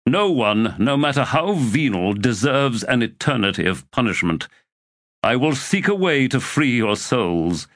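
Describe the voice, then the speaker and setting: A man's voice: medium pitch, very animated, measured pace, a bit bright, no echo, studio quality, voice pushed up. A man voicing Torrent, a weary and defiant young male warrior, in an audiobook reading.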